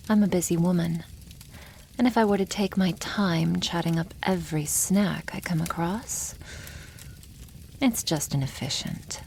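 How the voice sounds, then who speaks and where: A woman voicing a haughty, possessive female dragon in an ASMR roleplay, teasing and condescending, often dropping toward a breathy whisper. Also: lowish voice, darkish tone, a little hiss or hum. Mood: amused, condescending.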